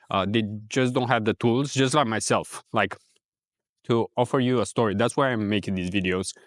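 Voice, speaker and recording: A man from Romania with a Romanian accent, talking casually in a reflective, rambling vlog with many pauses and fillers. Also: fairly low pitch, no echo, clean studio sound. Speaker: ecommerce vlogger.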